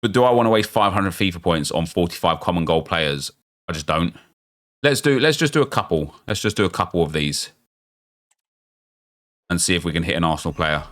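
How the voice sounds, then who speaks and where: A man, chatty and excitable, thinking aloud as he opens packs in a football game, losing his voice and getting unwell. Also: sits low, a little fast, long pauses. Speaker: gaming YouTuber. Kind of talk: casual pack-opening commentary.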